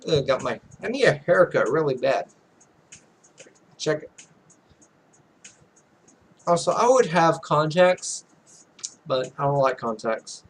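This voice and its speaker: A man with a fairly high voice hosts a casual gaming video. He rambles in offhand, muttered asides as he works through a visual novel. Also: animated, slow, pauses often, breathy edge. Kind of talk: casual let's play, reading visual novel text aloud.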